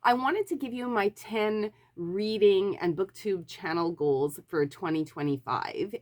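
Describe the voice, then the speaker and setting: A woman with a cold, slightly nasal, chatting casually to camera as a book vlogger. She rambles, corrects herself and fills pauses with ums. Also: medium pitch. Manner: rambling, self-correcting, many fillers. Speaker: book YouTuber.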